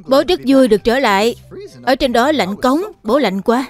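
A man's voice: extremely high pitch, normal speed, soft background music. A man voicing a kindly adult father animal in a dubbed children's cartoon. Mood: friendly.

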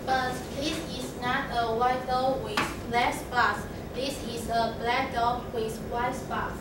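A young woman playing a mother frog who gently corrects her child, reading slowly from a script. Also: fairly high pitch, flat delivery. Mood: gentle. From Taiwan. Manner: slow, careful reading from a script. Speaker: student reader. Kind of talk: readers' theatre reading. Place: large classroom. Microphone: far camera. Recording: echoey classroom with loud background noise, far off.

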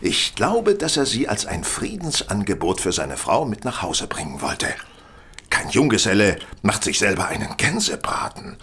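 An actor playing a brilliant, self-assured Victorian detective in an audio drama, reasoning in a confident, didactic manner. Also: male voice, fairly low pitch, huge pitch swings, normal speed, a bit bright, husky, heavily acted. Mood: assured, deducing. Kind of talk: German audio drama acting.

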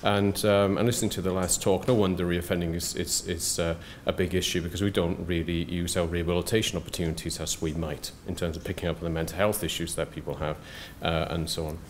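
A middle-aged soft-spoken man giving a conference lecture at a podium, hesitant with many fillers and restarts and dry asides. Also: low voice, level tone, fast talker, few pauses, slight background noise. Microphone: podium microphone.

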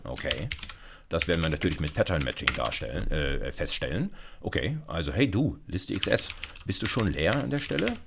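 Very low-voiced, lively male lecturer. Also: moderate pace, gravelly, noisy background. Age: middle-aged. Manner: conversational, addresses listeners directly, frequent check-in words. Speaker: university lecturer. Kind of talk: informal programming lecture, explaining step by step. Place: indoor recording setup, screencast lecture.

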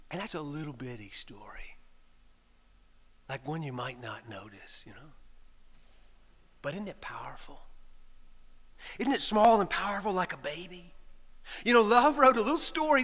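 A middle-aged man, a priest, telling a story in a sermon from the pulpit, animated and shifting between loud lines and hushed whispers. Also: high-pitched. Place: large stone church, speaking from a pulpit. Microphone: pulpit microphone. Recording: long church reverb.

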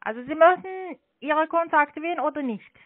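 High-voiced female call-centre agent. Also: brisk, no echo. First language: not German. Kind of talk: phone sales call. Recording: dry, tinny phone line.

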